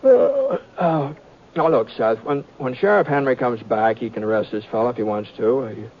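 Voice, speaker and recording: A man's voice: medium pitch, expressive, normal speed, a little hiss or hum, lightly acted. A man with an American accent playing a mild, hesitant, fair-minded cowboy hero in a folksy, stammering drawl, in Western radio drama style. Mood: hesitant, conciliatory. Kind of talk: 1950s Western radio drama acting and narration. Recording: dull vintage radio recording with music.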